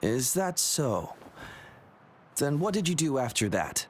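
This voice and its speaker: An actor playing an eager, suspicious young rookie patrol officer in a video game, questioning and excitable. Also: male voice, fairly high pitch, slow pace, slight background noise.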